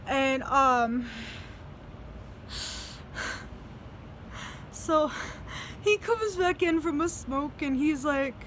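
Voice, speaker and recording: A woman's voice: sits high, very slow, pauses often, fairly bright tone, a little hiss or hum. A young woman telling stories in a casual video diary, rambling, swearing freely and laughing between sentences. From United States. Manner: rambling, profane, laughs often. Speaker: vlogger.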